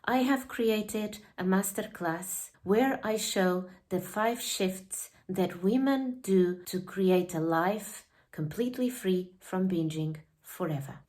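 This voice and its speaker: A woman's voice: medium pitch, slow pace, few pauses, a little mellow. A woman reading her own ad in a direct, persuasive way. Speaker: advertiser. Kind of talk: scripted ad read.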